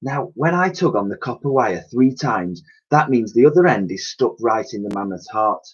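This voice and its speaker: A man voicing a plucky, practical young girl in a children's storybook, brisk and bold as if giving instructions. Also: medium pitch, lively intonation, slightly rough, highs cut off.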